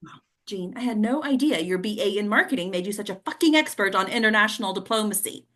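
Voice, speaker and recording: A woman playing a frantic, foul-mouthed, domineering presidential aide with a sharp-tongued, commanding delivery in a comedy play reading. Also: mid-range voice, lively intonation, quick pace. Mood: sarcastic, defensive. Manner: sharp-tongued, commanding, stammers under pressure. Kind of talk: comedy play table read.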